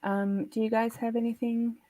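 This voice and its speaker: A woman's voice: fairly high pitch, flat delivery, moderate pace, dark tone, poor audio. A woman hosting a live show, chatting informally in a casual conversation about books. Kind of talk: casual book chat.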